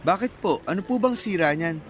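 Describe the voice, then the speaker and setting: An actor playing an obliging young mechanic in a Filipino radio drama, polite and casual and a little bashful. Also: male voice, high-pitched, animated, fairly quick, slight background noise. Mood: polite, helpful. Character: modest, obliging young male mechanic, shy with women.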